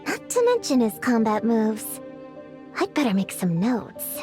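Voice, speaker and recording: An actress playing an excitable, scheming young witch girl in a video game, dramatic and easily flustered. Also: on the high side, a little slow, soft background music. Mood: studious, musing. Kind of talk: animated video game voice acting.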